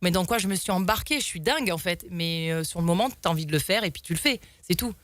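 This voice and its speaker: A woman's voice: on the low side, animated, fast talker, a bit bright, dry recording. A young woman guest telling stories in a talk show, rambling with many fillers and restarts. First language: French. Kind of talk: casual storytelling in an interview.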